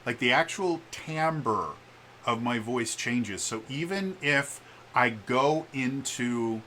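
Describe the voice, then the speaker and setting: A man giving a casual, opinionated vlog, with an expressive, emphatic delivery that shifts in volume and a stutter that catches on some words. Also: mid-range voice, takes it slowly. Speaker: vlogger. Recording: background room noise.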